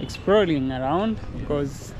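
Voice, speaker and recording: A man's voice: on the high side, measured pace, a crowd in the background, poor audio. A young man vlogging a walking tour outdoors, holding the camera at arm's length and talking eagerly to viewers, often repeating himself. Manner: excitable, repeats phrases, addresses viewers. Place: outdoors on a busy town street. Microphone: camera held at arm's length. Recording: street traffic noise behind. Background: traffic and street noise.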